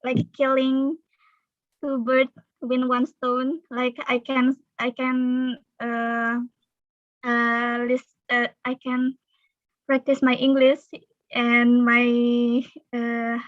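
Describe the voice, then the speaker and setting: A woman's voice: a little high, completely flat delivery, extremely slow, dry recording, highs cut off. A young Indonesian woman with an Indonesian accent, hesitantly asking a question in an online class, full of fillers and restarts. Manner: hesitant, many fillers and self-corrections. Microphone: online video call.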